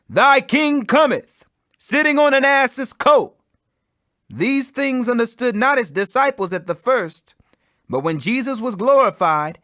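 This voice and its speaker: A man reading the Bible aloud as a dramatic audiobook, declamatory and expressive, with emphasis on quoted speech. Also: sits high, dry recording, clean studio sound. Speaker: scripture reader.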